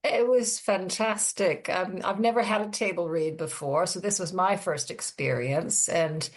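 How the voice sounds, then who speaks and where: A woman giving casual interview answers as a guest, halting as she thinks aloud. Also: on the low side.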